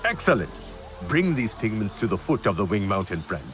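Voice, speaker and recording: Hearty, deep-voiced alien clansman, music behind. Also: sing-song, fairly quick. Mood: grand, friendly. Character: hearty, grandiose alien clan man, celebratory. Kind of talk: video game voice acting.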